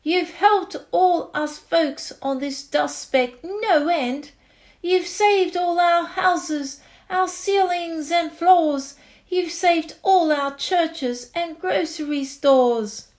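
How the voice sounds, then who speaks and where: Lady doing a little tiny mayor guy, grateful n friendly, piping high voice, kids storybook. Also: extremely slow, heavily acted. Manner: piping, effusive.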